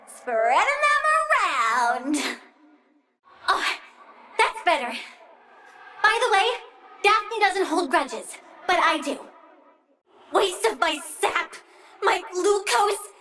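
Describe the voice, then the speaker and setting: A woman's voice: extremely high pitch, big pitch swings, drawn-out words, long pauses, pushed and bright, slight background noise, heavily acted. A woman playing a childlike plant-human girl villain in a video game, bratty and petulant, swinging from chirpy to gleefully vengeful menace. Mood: bossy, menacing.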